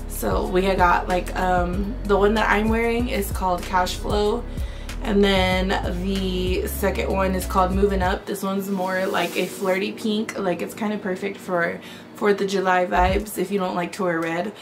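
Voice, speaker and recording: A young woman talks straight to camera from a bright bedroom, her voice hoarse and raspy from a sore throat, with plenty of gravelly fry. She chats loosely in a filler-heavy haul-video manner over a clear music bed. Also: medium pitch, flat delivery, a little slow. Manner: chatty, many "um" and "like" fillers. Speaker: beauty vlogger.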